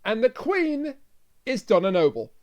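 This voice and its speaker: A man's voice: high-pitched, sing-song, a little slow. A man co-hosting a podcast, chatting casually through a review. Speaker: podcast co-host.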